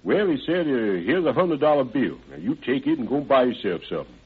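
Folksy, shifty ex-convict, swooping husky voice. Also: male voice, mid-range voice, sing-song, brisk, phone-quality sound. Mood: hesitant, deferential. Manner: hesitant, rambling, folksy ungrammatical speech. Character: evasive young ex-convict odd-job man, folksy and deferential. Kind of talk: old-time radio crime drama acting. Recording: band-limited vintage radio recording.

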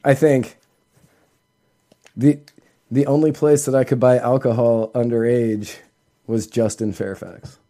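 Mellow American man, rambling podcast co-host. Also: mid-range voice, fairly even intonation, unhurried, long pauses. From Northern Virginia, United States. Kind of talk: casual conversational podcast chat.

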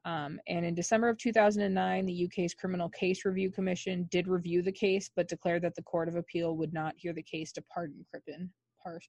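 A woman's voice: lowish voice, level tone, brisk, hardly any pauses, darkish tone, no room sound, muffled recording. A woman co-hosting a podcast, telling a true-crime story in a chatty, laughing way with lots of ums. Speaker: podcast co-host.

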